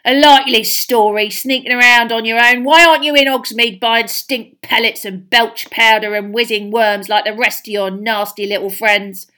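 Mean storybook caretaker man, loud, snarling, suspicious, snappish, performed by a woman. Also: on the high side, steady tone, normal speed, hardly any pauses, pitched above the performer's own voice. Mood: spiteful accusing. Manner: snarling, spitting, accusing. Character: nasty, suspicious male school caretaker, snappish.

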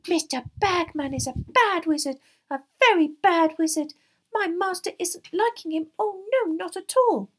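A woman voicing a small, indignant female house elf servant for a storybook, squeaky and shrill, speaking in servile broken grammar. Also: measured pace. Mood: agitated. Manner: wailing, broken servile grammar, third-person speech.

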